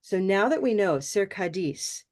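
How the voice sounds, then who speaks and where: A woman's voice: medium pitch, expressive, a little slow. Lady teacher on a video call, upbeat and encouraging, laughs a lot as she explains. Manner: warm, encouraging, laughs often. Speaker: English pronunciation teacher. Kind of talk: online class teaching.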